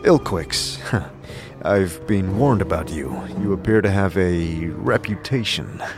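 A man playing a plain-spoken, thoughtful, wary adventurer in an audio drama, measured and reserved. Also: low voice, animated, over music, full character voice.

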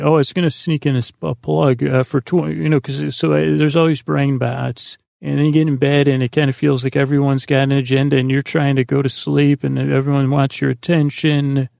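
A man with a creaky, gravelly voice hosting a sleep podcast in a meandering, halting, self-deprecating monologue full of tangents. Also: medium pitch, darkish tone, dry recording, studio quality. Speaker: podcast host.

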